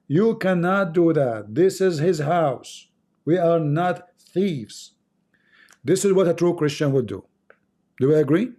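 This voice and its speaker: A man with an Arabic accent, speaking English as a second language, holds forth on his stream into a close microphone. His voice sits somewhat high for a man yet sounds soft and mellow. He delivers in an emphatic, sermon-like way and repeats lines for weight. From Middle East. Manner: emphatic, preachy, repeats for effect. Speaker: livestream host. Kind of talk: impassioned religious monologue.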